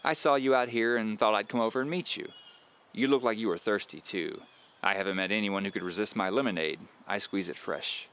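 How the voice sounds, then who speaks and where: A man's voice: lowish voice, fairly quick, a bit bright, smooth. A man voicing a cheerful, chatty, nosy woman of about seventy in an audio drama, with a folksy, fussing manner. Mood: cheerful, welcoming.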